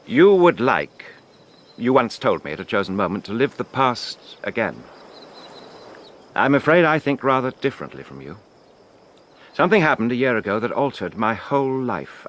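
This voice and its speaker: An actor playing a brooding, brusque middle-aged widowed gentleman in a radio drama, with a clipped, commanding, well-bred manner. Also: mid-range voice.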